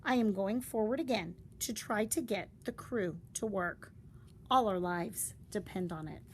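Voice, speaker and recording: A woman reading a story aloud in a deliberate, dramatic storytelling style. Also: medium pitch. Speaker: storybook reader. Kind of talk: expressive read-aloud of a story. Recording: faint background noise.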